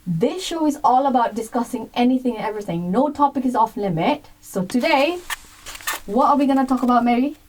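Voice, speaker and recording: Lively high-voiced woman in her thirties. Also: brisk. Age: 30s. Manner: lively, laughs loudly, mixes in Nepali words. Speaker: co-host. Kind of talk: casual chat. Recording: distant mic with clear background noise.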